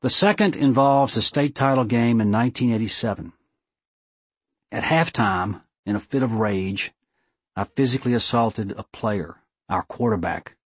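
A man voicing a dying, remorseful old football coach in an audiobook, speaking solemnly and deliberately. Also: mid-range voice, breathy edge, dry recording, lightly acted.

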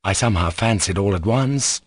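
A man voicing an earnest, gentle young man full of sorrow and self-blame in an audiobook story. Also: lowish voice, normal speed, husky, dry recording. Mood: remorseful.

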